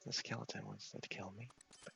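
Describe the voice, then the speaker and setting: Man, soft half-whisper, crackly vocal fry, crisp bright edge, unhurried ASMR gaming commentary, music behind. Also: fairly low pitch, little pitch movement. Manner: unhurried, murmuring to himself, reads game text aloud. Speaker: game streamer, video host. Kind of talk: ASMR game playthrough commentary.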